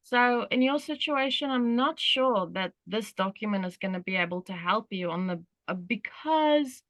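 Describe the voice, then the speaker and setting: A woman's voice: medium pitch, expressive, moderate pace, fairly bright tone, no room sound. Rambling Australian-accented woman host. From South Africa. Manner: rambling, many "you know" fillers. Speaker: workshop host. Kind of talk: casual talk on a group call.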